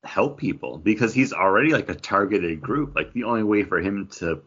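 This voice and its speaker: Guy with husky kinda low voice, casual podcast book talk, slightly muffled lo-fi audio. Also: expressive, fairly quick, a bit bright. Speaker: comic book podcast co-host. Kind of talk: casual book discussion chat.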